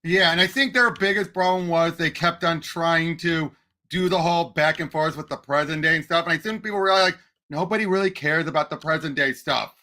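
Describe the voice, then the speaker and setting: A man's voice: a little high, a little fast, sharp tone. A man co-hosting a podcast, chatting casually and emphatically about films and games, with firm opinions. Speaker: podcast co-host. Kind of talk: casual film and game discussion.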